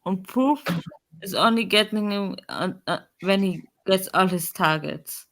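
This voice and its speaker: Woman, German accent, fan-show co-host, blunt and forceful. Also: medium pitch, measured pace. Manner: blunt, emphatic, swears freely. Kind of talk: casual football fan chat.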